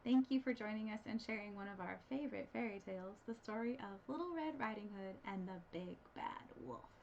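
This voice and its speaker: A woman reading scripted storybook narration as a librarian storyteller, with an expressive delivery. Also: mid-range voice, brisk, vocal fry. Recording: dull, slightly noisy recording.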